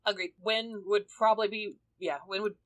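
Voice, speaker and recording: A woman's voice: medium pitch, fairly even intonation, normal speed, dry recording. A woman podcast player making casual, offhand remarks among the group. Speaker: podcast cast member and player. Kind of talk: casual banter.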